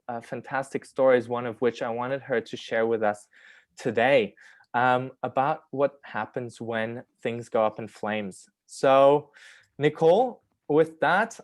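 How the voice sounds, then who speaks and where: A man's voice: medium pitch, fairly even intonation, unhurried, no room sound. A man hosting an online session and introducing a guest, hesitant with many fillers. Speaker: host.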